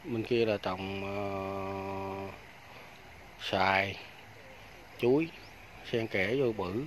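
A man's voice: low-pitched, steady tone, drawn-out words, slight background noise. A man, talking casually to viewers while filming a walking tour of a farm outdoors on a phone. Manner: chatty, keeps addressing viewers as aunts, uncles and friends. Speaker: vlogger giving a farm tour. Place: outdoors on a rural farm by fish ponds.

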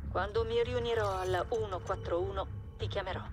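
A woman playing a measured, businesslike middle-aged intelligence chief in a video game. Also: mid-range voice, steady tone, lightly acted. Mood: businesslike, composed. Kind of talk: cinematic video game dialogue. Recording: music behind.

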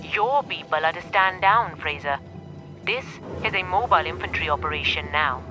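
Sharp, officious corporate director. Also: male voice, a little high, animated, normal speed, faint music behind. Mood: cold, stern. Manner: clipped, formal, condescending. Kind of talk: video game voice acting.

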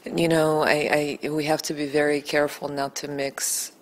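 Low-pitched woman, from Bulgaria, earnest, building emphasis, small indoor room, handheld mic. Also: little pitch movement, normal speed, poor audio. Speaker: documentary film-maker. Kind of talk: interview answers. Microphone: handheld mic held by interviewer.

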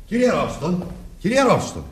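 An actor playing a flustered, urgent police sergeant in a Greek radio drama. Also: male voice, high voice, wildly swooping pitch, breathy edge, exaggerated voice. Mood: agitated.

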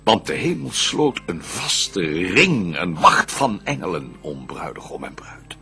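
A proud, scheming devil of verse radio drama, rasps out his plans slowly and grandly. The voice is gravelly and forceful, swooping from low menace to loud declamation. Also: very animated, faint music behind, heavily acted. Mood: wary, plotting. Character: vengeful banished devil, proud, scheming, fallen angel.

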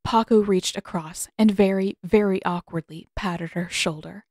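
A woman's voice: mid-range voice, moderate pace, darkish tone, no echo. Dry-sounding woman narrating fiction. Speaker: audiobook narrator and author.

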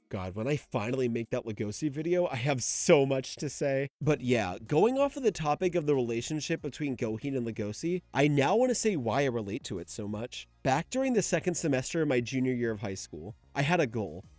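A young man hosts a scripted video essay. His delivery is expressive and enthusiastic with lively, swinging pitch, recorded dry over faint music. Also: no echo. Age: young adult. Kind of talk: scripted manga character commentary.

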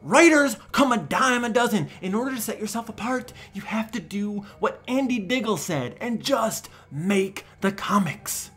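A young man giving an over-the-top dramatic reading as a smug, defensive forum poster, theatrical and swinging between breathy whispers and shouting. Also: sits high, lively intonation, moderate pace, few pauses, faint music behind, heavily acted. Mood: indignant, defensive. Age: young adult. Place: small room at home.

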